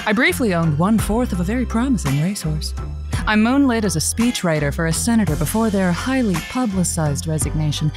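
A woman's voice: medium pitch, animated, fairly quick, music in the background, natural voice. A woman playing a witty, teasing late-night radio host in an audio drama. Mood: sultry. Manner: witty, playful, sultry on air. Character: Josie, sultry radio host, also playing Joanne. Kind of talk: semi-scripted audio drama.

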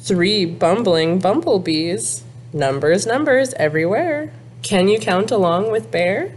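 A woman in her thirties, a teacher, reading a picture book aloud to young children in a sing-song, deliberate way with exaggerated emphasis. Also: mid-range voice, lively intonation, darkish tone, slight background noise. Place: small classroom, indoors.

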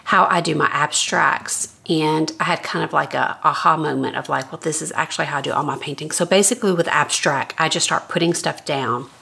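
A woman's voice: low voice, brisk, vocal fry, few pauses, husky. A middle-aged woman with a scratchy voice, casually talking through her sketchbook pages while flipping them in her studio. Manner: relaxed, thinking aloud while flipping pages. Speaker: artist giving a sketchbook tour. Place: home art studio, indoors. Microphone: overhead camera, speaker off-frame.

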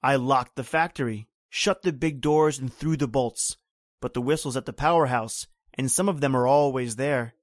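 A mid-pitched male voice of an actor plays a fatalistic island servant in an old-time radio horror drama. He is terse and flat, with a grim, ominous manner. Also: moderate pace, no room sound, studio quality, natural voice.

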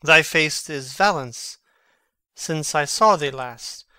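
A man acts a young prince in a Shakespearean drama, witty and mocking as he feigns madness. His voice is highish, very bright and clean, recorded close and dry. The delivery is theatrical, with a sly, sardonic edge. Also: takes it slowly, smooth, dry recording. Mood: jovial greeting. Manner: theatrical, teasing, archly sardonic.

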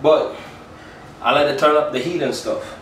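A man's voice: a little high, fairly even intonation, moderate pace, fairly bright tone, a little hiss or hum. A man, chatting playfully as he demonstrates a home cooking recipe. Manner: playful and chatty, tags lines with "you check?". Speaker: home cook host. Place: small home kitchen indoors. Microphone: camera mic at a distance. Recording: roomy kitchen from far off.

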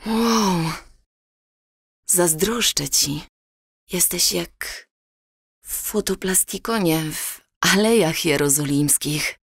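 An actress plays a curious, sleepy woman in an intimate radio-play dialogue. Her voice is very low and husky, with slow, questioning lines. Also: no room sound, studio quality, full character voice. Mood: impressed. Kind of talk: audio drama dialogue.

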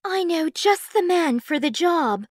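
An earnest, emotional storybook woman, speaks theatrically in a very high voice. The pitch swoops up and down at an unhurried pace. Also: expressive, no room sound, studio quality. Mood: confident. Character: earnest, emotional storybook women, wives and servant.

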